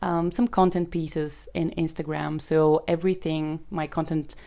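A woman's voice: deep voice, flat delivery, a little slow, creaky, dark tone. A young woman from Bulgaria, a Bulgarian native speaker, hosting her own podcast and explaining a method in a chatty, conversational way with frequent ums. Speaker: podcast host and business coach.

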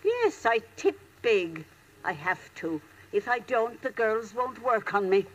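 An actress playing a brassy, homely, self-mocking older landlady with deadpan timing in a 1940s radio comedy. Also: on the high side, slow, muffled recording. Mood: cheerful, wry. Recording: noisy old radio recording with clicks.

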